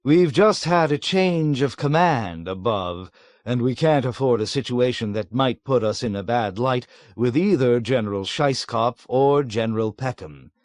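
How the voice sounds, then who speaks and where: A man's voice: medium pitch, animated, measured pace, darkish tone, dry recording, natural voice. A man doing a sly, acid-tongued colonel. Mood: sly irony. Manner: drawling, ironic, dryly mocking.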